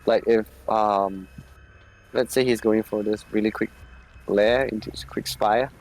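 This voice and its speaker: A man's voice: lowish voice, fairly even intonation, unhurried, a little mellow, rough recording. A young man co-casting a live strategy game match, playful and rambling, often trailing off mid-sentence. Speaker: esports co-caster.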